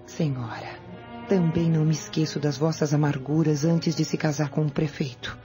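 A woman's voice: low-pitched, steady tone, normal speed, music in the background, natural voice. An actress playing an obedient, deferential servant woman who conspires with her mistress in a radio drama. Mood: deferential, attentive.

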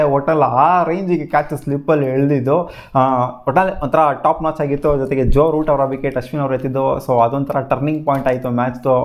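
A young man, giving a casual, animated cricket match analysis in a small home studio. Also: a little high. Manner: animated, gesturing, mixes English words. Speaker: cricket channel host. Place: small home studio with gaming chair and lamps.

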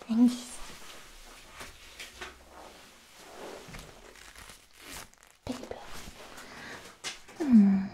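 A sweet, obsessive alien girlfriend, played by a woman, coos in a high, breathy voice that often sinks to a whisper. She draws her words out extremely slowly and dotingly. It is a close-miked ASMR roleplay with a little hiss behind it. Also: dark tone, full character voice. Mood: curious. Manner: cooing, drawn-out, doting. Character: clingy, lovestruck female alien girlfriend, sweet and slightly obsessed. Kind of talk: soft-spoken ASMR roleplay. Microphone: close sensitive microphone.

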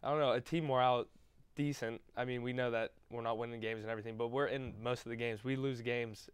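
A man's voice: medium pitch, steady tone, quick pace, clean tone, dry recording. A young man who plays college baseball, giving plain, modest interview answers. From Pennsylvania. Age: young adult.

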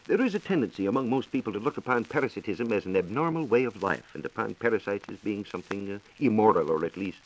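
An American man explaining science in a measured, formal way on a 1940s radio program. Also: on the low side, poor audio. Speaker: science reporter and radio co-host. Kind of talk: 1940s radio science talk, answering questions. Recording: 1940s radio transcription disc.

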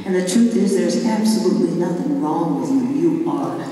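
A middle-aged woman with a low voice, chatting with and encouraging a concert audience between songs, heard from the crowd in a large hall. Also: expressive, brisk, dark tone, a little hiss or hum. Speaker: singer addressing a concert audience. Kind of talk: live stage banter between songs. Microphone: handheld mic, recorded from the audience. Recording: distant echoey concert hall audience recording.

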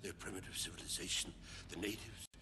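An actor playing a bitter, defiant, deranged old survivor in a video game cutscene, halting and theatrical, lapsing into whispers. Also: male voice, low-pitched, fairly even intonation, gravelly, noisy background. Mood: bitter, gloating. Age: older.

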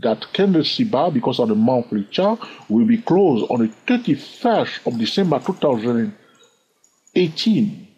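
A man teaching a chart lesson over his screen, animated and full of rhetorical questions, chuckling now and then. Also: medium pitch, a little slow, slightly rough. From lives in London. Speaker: trading educator. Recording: dull lo-fi sound.

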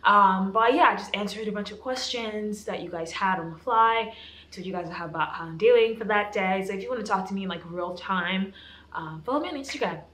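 A young woman in her twenties chatting casually to camera in a vlog, animated and laughing often. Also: mid-range voice, fairly quick, echoey room. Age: 20s. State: hoarse, losing her voice. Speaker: vlogger, law student. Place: apartment bedroom, indoors.

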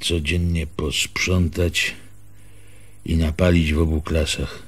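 An actor in a radio play as a pompous, officious male village schoolteacher, speaking formally and pedantically in a husky voice. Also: a bass voice, little pitch movement, slow pace, heavily acted. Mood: officious, stern. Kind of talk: Polish radio theatre acting.

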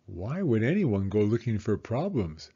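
Man voicing an eager, lively young boy. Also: fairly low pitch, measured pace, breathy edge, lo-fi sound, exaggerated voice. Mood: curious. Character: eager, curious young boys, three adventurous brothers.

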